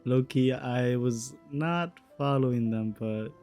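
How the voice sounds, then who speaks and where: A woman with a very deep, dark, mellow voice commenting casually on a game. She is offhand and slangy, with faint game music behind her. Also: takes it slowly. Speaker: gamer playing through a story quest. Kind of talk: casual gameplay reaction commentary.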